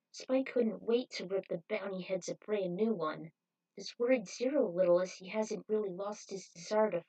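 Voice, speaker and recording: A woman's voice: mid-range voice, darkish tone, recorded from a distance, rough recording. A woman reading fan fiction as an amateur narrator.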